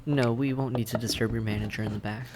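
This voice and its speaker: A man playing a suave, polite vampire in an audio roleplay, courteous and measured, with a softly teasing menace. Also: medium pitch, steady tone, background noise, natural voice. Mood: polite, cheerful. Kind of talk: scripted audio roleplay acting, addressed to the listener.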